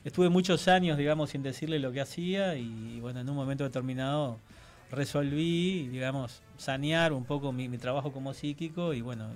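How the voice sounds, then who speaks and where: A man, a radio interview guest speaking in a measured way with many fillers. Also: mid-range voice, soft background music.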